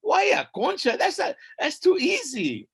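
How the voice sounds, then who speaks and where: A man voicing the indignant mistress of the dead, a queen scolding her husband in a shrill voice. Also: wildly swooping pitch, a little slow, fairly bright tone, heavily acted. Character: Mictlán Sihuat, indignant female queen of the dead.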